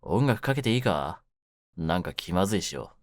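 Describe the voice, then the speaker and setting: A man playing a menacing young mafia kidnapper in an intimate voice drama, speaking low with rough, smug, teasing words. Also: sing-song, moderate pace, dry recording, clean studio sound. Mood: awkward, softer. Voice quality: low-pitched, hushed at menacing moments. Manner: rough masculine speech, smug mock politeness. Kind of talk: ASMR situation voice drama, intimate one-sided roleplay.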